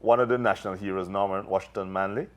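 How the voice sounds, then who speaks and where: Guy from jamaica, light accent, low voice, slows his speech down on purpose. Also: fairly even intonation. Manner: slow, deliberate. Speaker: guest. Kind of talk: casual chat.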